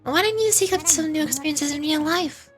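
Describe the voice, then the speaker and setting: A woman performs a child goddess for a game. The voice is high and girlish, with a gentle, thoughtful manner. She speaks earnestly and curiously, like a kindly young sage, over clear background music. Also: a little fast, close to an everyday voice. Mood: gently advising. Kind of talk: scripted game character dialogue.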